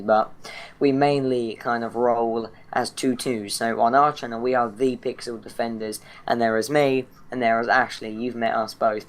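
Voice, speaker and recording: A teenage British boy, hoarse and croaky from a sore throat, introducing his gaming channel in a rambling, chatty way full of fillers and restarts. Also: medium pitch. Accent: British English. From Britain. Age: teens. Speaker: channel host.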